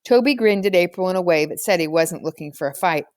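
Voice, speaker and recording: A woman's voice: fairly low pitch, animated, fast talker, a little mellow, no echo, clean studio sound. A woman reading a children's novel aloud as scripted storybook narration. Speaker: audiobook reader.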